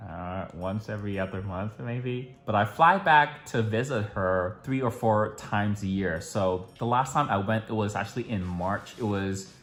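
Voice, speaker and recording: A man's voice: lowish voice, normal speed, few pauses, a bit bright. A young man chatting casually to camera at home in a daily vlog, full of "like" fillers. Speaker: vlogger.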